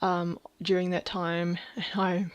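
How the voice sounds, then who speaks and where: Flat-voiced young woman confiding. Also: fairly low pitch, takes it slowly, smooth, dull audio. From Thailand. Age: 20s. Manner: candid, rambling, frequent fillers and soft laughs; drops to a whisper about housemates. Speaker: vlogger. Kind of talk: personal video diary.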